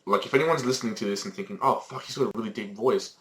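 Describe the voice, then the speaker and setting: Teen male co-host, low-ish voice, rambling, sweary, fillers. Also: very fast, a bit bright. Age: teens. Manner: swears freely, rambling with many fillers and restarts. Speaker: podcast co-host. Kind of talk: casual chat. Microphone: Skype call recording.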